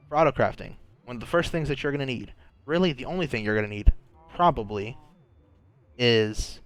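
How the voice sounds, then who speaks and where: A young man with a sore throat, chatting casually and thinking aloud while playing a game, often restarting his sentences. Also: medium pitch. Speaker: gaming channel host. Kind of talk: casual gameplay commentary.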